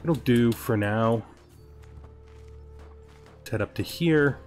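A man's voice: medium pitch, very slow, darkish tone, faint music behind. A man giving casual strategy game commentary as host, thinking aloud and reading event text. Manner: thinking aloud, asking himself questions. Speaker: let's-play host.

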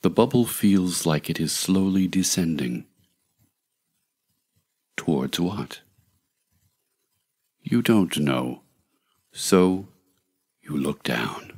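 Very deep, slow, husky male meditation guide. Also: expressive, a little mellow. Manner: measured, soothing, deliberate delivery with long pauses.

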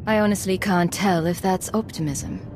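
An actress playing a cool, sceptical secret agent in a video game, speaking in a measured way. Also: female voice, medium pitch, fairly even intonation, music in the background, close to an everyday voice. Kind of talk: video game cutscene acting.

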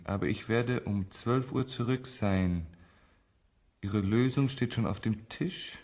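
An actor playing a male test subject in a radio drama, expressive and halting. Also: mid-range voice, close to an everyday voice. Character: flippant male test subject, turned helpless victim. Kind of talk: German radio drama acting.

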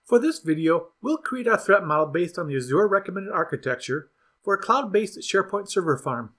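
A man voices a scripted product tutorial with a slightly raised pitch and a husky edge. His delivery is measured and instructional, with lively pitch movement that keeps each step clear. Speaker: product demo narrator. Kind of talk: scripted software tutorial voice-over.

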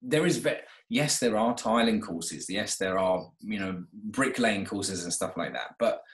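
A man in his thirties with a lowish voice chats over a video call from an echoey room at home. He rambles easily, full of fillers and restarts, as he tells his own story. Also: lively intonation, unhurried. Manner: chatty, with many fillers and restarts. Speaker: renovation company owner, guest. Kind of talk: casual interview.

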